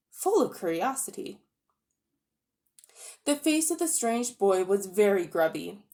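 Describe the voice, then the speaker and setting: A woman giving an expressive dramatic reading of a children's novel. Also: mid-range voice. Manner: expressive storytelling. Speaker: reader.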